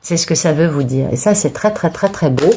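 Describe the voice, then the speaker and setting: A woman's voice: deep voice, lively intonation, brisk, mellow, husky. A woman with a cold giving a tarot card reading for viewers, talking in an encouraging way with frequent restarts and repeated words. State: has a cold, sneezing. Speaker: tarot reader.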